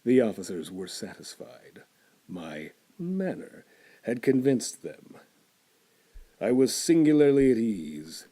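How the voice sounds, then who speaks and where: A man giving a theatrical reading of a classic horror tale, dropping to hushed whispers and building to intense outbursts. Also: mid-range voice, sing-song, takes it slowly. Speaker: storyteller.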